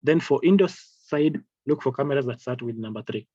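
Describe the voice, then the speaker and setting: A man giving an informal product training over slides in a halting, repetitive, hesitant way. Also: medium pitch, lively intonation, mellow, breathy edge, dry recording, highs cut off. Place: online video call with screen-shared slides.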